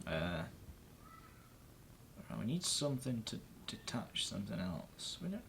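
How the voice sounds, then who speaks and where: A man's voice: lowish voice, very animated, a little slow, gravelly, fairly bright tone, a little hiss or hum. A man giving casual, offhand let's play commentary, muttering to himself and swearing now and then. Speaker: gamer, let's play host.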